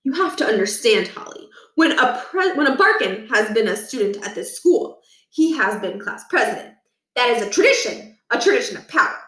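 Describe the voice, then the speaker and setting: A woman's voice: on the high side, sing-song, normal speed, fairly bright tone. A woman voicing a pompous, power-hungry older male school principal in a children's story, lecturing with condescension and menace. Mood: stern, condescending. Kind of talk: children's book read aloud. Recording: echoey room, distant from mic.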